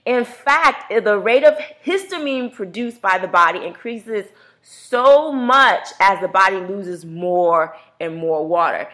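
A woman hosts a radio health show in a loose, chatty way. Her pitch sits in an ordinary middle range. She often laughs and plays out what other people say. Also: pushed and bright. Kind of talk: casual radio talk.

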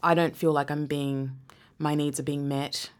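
A woman's voice: low voice, level tone, normal speed. A woman who grew up in Zambia, talking reflectively as a guest in a casual interview, often restarting her sentences. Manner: reflective, many restarts and laughs.

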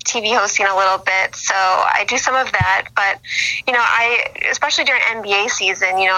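Bright, chatty young woman with gravelly fry. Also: mid-range voice, low-quality recording. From Boston, USA. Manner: chatty, many fillers and restarts. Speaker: sports blogger guest. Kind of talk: casual interview on a phone line.

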